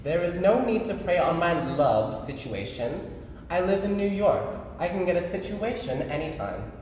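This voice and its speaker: A man's voice: high-pitched, sing-song, normal speed, darkish tone. A man playing a jaded, exasperated middle-aged son in a staged play reading, weary, sardonic and dismissive. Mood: irritated, dismissive. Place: live staged reading in a reverberant room. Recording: very echoey hall, some background noise.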